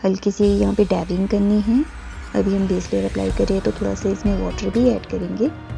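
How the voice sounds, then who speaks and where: A woman giving a calm, instructive voice-over for a step-by-step painting tutorial, pausing often with fillers. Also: mid-range voice, vocal fry, faint music behind. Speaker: art teacher. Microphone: voice-over, camera on hands and canvas.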